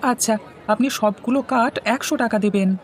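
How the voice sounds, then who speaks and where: A woman's voice: a little high, normal speed, a faint crowd, slight background noise, natural voice. A woman voicing a meek, hardworking young brother in a cartoon, speaking politely and submissively. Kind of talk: cartoon dubbing.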